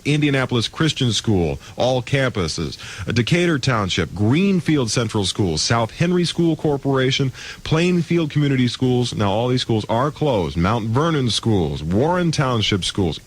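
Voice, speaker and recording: An American man hosting a morning radio show, chatting and reading announcements live in a breezy, joking, informal way. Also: medium pitch. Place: radio studio. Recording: radio aircheck with music underneath. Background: music.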